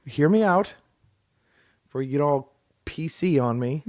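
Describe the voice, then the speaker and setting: A man hosting a comic podcast, delivering crude jokes in a mock-formal, deadpan way. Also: on the high side, takes it slowly, no echo, clean studio sound. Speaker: podcast host. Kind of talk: scripted comic podcast intro and banter.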